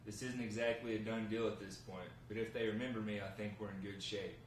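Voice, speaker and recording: An earnest, hard-up man acting as a drama character with a lowish voice, persuasive and measured. He is heard from a distance in an echoey room with some hiss, reading at a table read. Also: steady tone, natural voice. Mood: hopeful, deferential. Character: earnest, hard-up man bargaining with a gang boss. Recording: roomy, noisy, distant mic.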